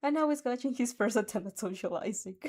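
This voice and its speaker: A woman's voice: a little high, lively intonation, brisk, darkish tone. Giggly woman reading a story aloud. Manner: giggly, stumbles over words and rereads. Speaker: narrator reading fan fiction aloud with reactions. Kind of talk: animated amateur audiobook reading with asides.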